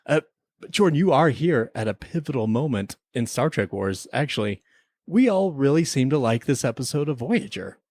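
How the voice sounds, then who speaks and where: A man's voice: medium pitch, expressive, moderate pace, darkish tone, dry recording. Guy host bantering in a comedy audio drama, close dry recording. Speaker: host, performer in a comic podcast drama. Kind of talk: conversational comic radio drama.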